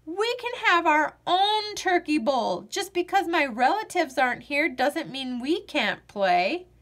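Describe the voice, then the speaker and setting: A woman voicing an excited nine-year-old boy who is eager to play football, calling out his lines with eager exclamations. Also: high voice, measured pace, voice pushed up, exaggerated voice.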